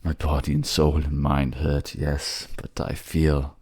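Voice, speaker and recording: A man voicing a gravely wounded, remorseful king who speaks gently and haltingly to a lover in an intimate fantasy audio drama. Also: very deep voice, level tone, no echo, studio quality, exaggerated voice. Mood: pained, wry.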